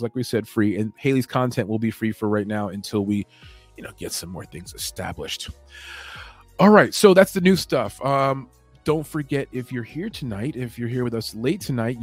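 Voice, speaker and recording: A man hosting a late-night MMA show, talking in a calm, rambling, laid-back way. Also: medium pitch, breathy edge, no room sound. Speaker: MMA podcast host. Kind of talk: late-night solo show, casual chat.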